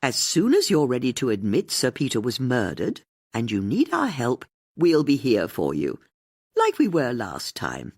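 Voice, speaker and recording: An audiobook narrator voicing a confident, bossy older woman amateur sleuth with a brisk, emphatic manner. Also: medium pitch, huge pitch swings, breathy edge, dry recording, clean studio sound, heavily acted. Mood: indignant, imperious.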